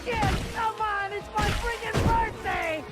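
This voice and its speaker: A man's voice: extremely high pitch, fairly even intonation, a little slow, loud music behind the voice. A man with an American accent playing a foul-mouthed, cowardly, wisecracking small-time crook in a video game, crude and whiny. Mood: panicked. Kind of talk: animated video game acting.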